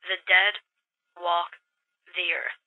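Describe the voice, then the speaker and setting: A woman voicing an excitable, dramatic young boy heard through a telephone filter in an audio drama. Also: on the low side, takes it slowly. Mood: dramatic, urgent. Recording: tinny, band-limited phone line.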